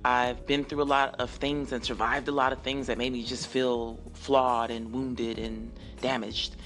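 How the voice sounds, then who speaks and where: Woman in her 40s, podcast host; husky, sultry morning voice, warm-paced earnest delivery over faint music. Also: extremely low pitch, level tone. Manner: earnest, unhurried and affirming. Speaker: singer-songwriter hosting a call-in podcast. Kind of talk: reflective podcast talk answering listener messages.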